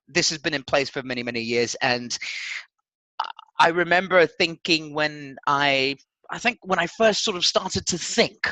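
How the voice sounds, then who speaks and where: A man's voice: medium pitch, pushed and bright, no echo, low-quality recording. A man co-hosting a football podcast in casual, agreeable chat, often repeating his words and phrases.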